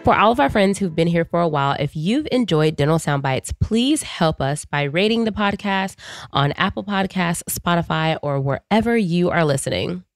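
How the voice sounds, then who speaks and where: A woman's voice: on the low side, animated, dry recording, clean studio sound, faint music behind. A woman co-hosting a dental podcast in an upbeat, casual chat. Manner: upbeat, laughing, quick asides. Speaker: podcast co-host, dentist.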